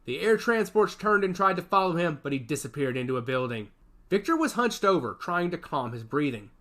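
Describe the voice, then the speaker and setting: A man's voice: a little high, big pitch swings, a little fast, fairly bright tone. A man reading a science fiction action story aloud as its narrator, with a dramatic storytelling delivery.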